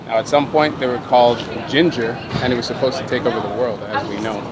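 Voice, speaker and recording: A man's voice: mid-range voice, quick pace. A man talking casually to camera as a vlogger, explaining things in an easygoing, conversational way and checking in with his listeners. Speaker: vlogger and electric unicycle rider. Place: outdoors on a city street. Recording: outdoor urban recording, loud traffic noise.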